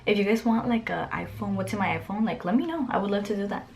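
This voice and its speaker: A woman's voice: medium pitch, fast talker, darkish tone, slight background noise. A young woman from Mexico, Spanish her first language, chatting casually to camera in her bedroom and answering get-to-know-me questions with lots of "like" fillers. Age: young adult. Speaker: vlogger. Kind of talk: casual Q&A chat. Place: bedroom, indoors. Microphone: camera close up.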